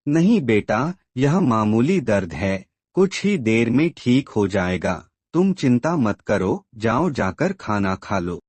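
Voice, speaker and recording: A man playing an ailing, gentle old father in a cartoon moral story, earnest and emotive. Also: mid-range voice, sing-song, takes it slowly, hardly any pauses, no room sound. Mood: caring.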